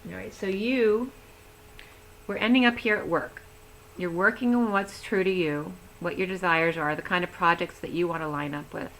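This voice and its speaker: A woman's voice: lowish voice, moderate pace, a bit bright, slight background noise, low-quality recording. Chatty woman giving a tarot reading. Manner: chatty, rambling, laughs easily. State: losing her voice. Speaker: tarot reader. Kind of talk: casual tarot reading to camera.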